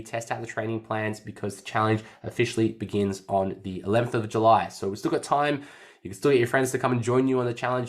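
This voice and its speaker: A man hosts a casual fitness podcast in an upbeat, chatty way. His voice sits a bit low and still sounds slightly nasal after an illness, and he tends to repeat his phrases. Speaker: fitness coach and podcast host.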